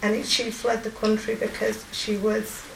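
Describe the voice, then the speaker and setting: A woman in her forties with a strong Yorkshire accent, telling of her volunteer work in a halting, emotional way with many pauses and fillers. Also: mid-range voice, monotone, vocal fry, echoey room, far from the mic, background noise. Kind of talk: personal testimony.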